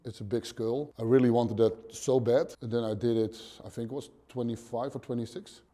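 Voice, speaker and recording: Thirties Dutch man, gym owner, blunt halting interview talk, mellow dark voice, dim gym. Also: mid-range voice, fairly even intonation. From Netherlands. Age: 30s. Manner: plain, blunt, swears casually, short halting phrases. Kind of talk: casual interview answers.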